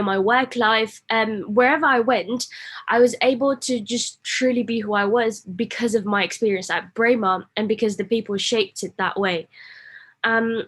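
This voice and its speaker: A young woman answering as a former student on an online panel, reflective and measured, often saying "you know", over a video call. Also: a little high, husky. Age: young adult. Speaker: alumna panelist.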